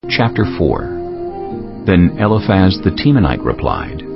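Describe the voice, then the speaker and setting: A man with a low, creaky voice narrates scripture in a formal scripted style. His pitch moves dramatically, and music plays clearly behind him. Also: very animated, unhurried. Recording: muffled audio under clear music.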